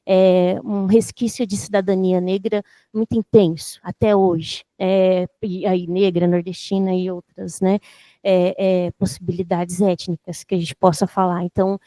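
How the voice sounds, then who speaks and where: Flat-voiced woman lecturer musing aloud. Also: on the low side, unhurried, no echo. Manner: reflective, searching for words. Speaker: university lecturer. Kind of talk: academic panel commentary.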